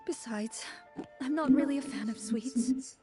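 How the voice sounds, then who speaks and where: A woman's voice: sits high, unhurried, warm tone, soft background music. A woman voicing a young game character who narrates a role-play scene and plays a troubled wife, hesitant and flustered.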